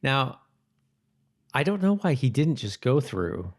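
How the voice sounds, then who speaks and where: A man co-hosting a podcast, chatting casually and haltingly, repeating himself as he searches for words. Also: medium pitch, sing-song. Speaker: podcast co-host. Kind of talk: casual episode recap chat.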